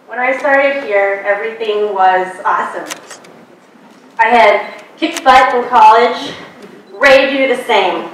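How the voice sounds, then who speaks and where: A young woman giving a prepared commencement speech in a measured, earnest way, speaking at a podium in a large lecture hall. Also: mid-range voice, fairly bright tone. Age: young adult. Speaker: graduate student commencement speaker. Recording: distant, very echoey hall, faint noise.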